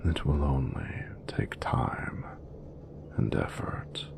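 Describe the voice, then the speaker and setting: A man voicing an ancient, regal dragon in a romantic audio roleplay, doting and flirtatious, with gravelly, breathy, often near-whispered, grandiose and formal speech. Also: rumbling low, big pitch swings, full character voice. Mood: solemn, reassuring. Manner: grandiose, formal, measured phrasing. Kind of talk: romantic audio roleplay, slow intimate monologue.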